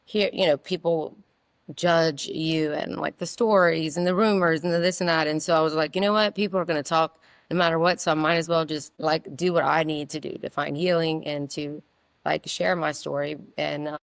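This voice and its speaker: Raspy woman, flat delivery. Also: sits low, normal speed, no echo. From South Louisiana. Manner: open, rambling, many fillers. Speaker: singer-songwriter guest. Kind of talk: casual interview answers.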